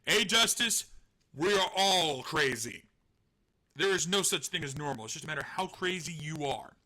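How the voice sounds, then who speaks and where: A man's voice: fairly high pitch, lively intonation, moderate pace, pushed and bright. A man hosting a live stream, chatting casually and rambling, joking at his own expense, laughing and swearing now and then. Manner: rambling, self-deprecating, laughs often, swears. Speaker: online show host.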